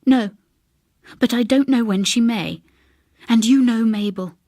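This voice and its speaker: A woman's voice: on the high side, measured pace, mellow, dry recording, studio quality. A woman voicing a gloomy, uneasy husband who is haunted, speaking in a brooding, earnest way.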